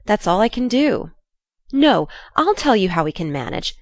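A woman voicing a careless, brisk tomboy of a teenage girl in a storybook, plain-spoken and offhand. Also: mid-range voice, very animated, no echo. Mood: defiant. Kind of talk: storybook character reading.

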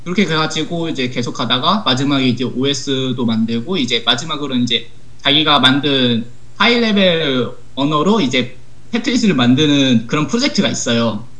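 A teenage male high school student speaking as a guest in a casual talk, explaining things earnestly step by step. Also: lively intonation, moderate pace, bright, forceful tone. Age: teens, high school student. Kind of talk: casual talk show conversation, recounting an anecdote.

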